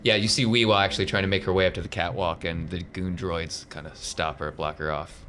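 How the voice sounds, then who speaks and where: A man's voice: deep voice, steady tone, fairly quick, bright, forceful tone. A man narrating casually as the game master of a tabletop roleplaying game.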